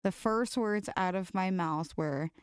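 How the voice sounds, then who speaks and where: A woman's voice: lowish voice, measured pace, clean tone, dry recording, clean studio sound. A woman co-hosting a podcast, casually chatting and reading funny stories aloud. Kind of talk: casual comedic chat and story reading.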